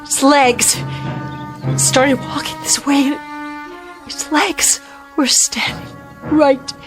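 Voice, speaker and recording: An actress playing a frightened but stubborn woman in a radio drama, anxious and quick to argue back. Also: high voice, level tone. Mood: terrified. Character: frightened, stubborn, argumentative civilian woman in hiding. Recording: clear music bed behind dialogue.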